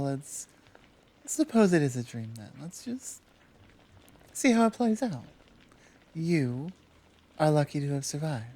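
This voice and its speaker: A woman playing a tall, powerful, protective Amazon in an intimate ASMR roleplay, talking softly and teasingly with halting pauses. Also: low-pitched, exaggerated voice. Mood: amused, incredulous.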